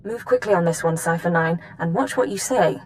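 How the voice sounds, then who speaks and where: An actress playing a businesslike Imperial intelligence superior giving an authoritative briefing in a video game. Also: female voice, lowish voice, brisk, slight background noise, lightly acted. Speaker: mission controller. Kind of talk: video game dialogue acting.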